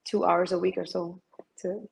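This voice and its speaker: A woman's voice: on the low side, level tone, normal speed, vocal fry, a little mellow, a little hiss or hum, poor audio. A middle-aged woman from Malaysia, a masters swimmer talking as an interview guest, hesitant with many fillers and restarts. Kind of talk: interview conversation.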